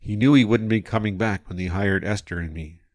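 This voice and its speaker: A man playing a reflective, low-voiced space captain. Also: dry recording, clean studio sound, close to an everyday voice. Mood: wistful. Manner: quiet, reflective, measured. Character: male spaceship captain recalling a lonely voyage. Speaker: first-person narrator. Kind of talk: scripted audio drama narration.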